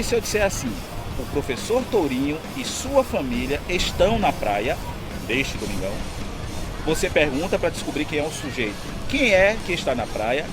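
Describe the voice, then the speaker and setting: Man, teacher, slightly high and very animated pitch, music playing behind him at a beach. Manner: asks and answers his own questions. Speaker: Portuguese teacher. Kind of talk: casual outdoor grammar lesson. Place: outdoors at a beach.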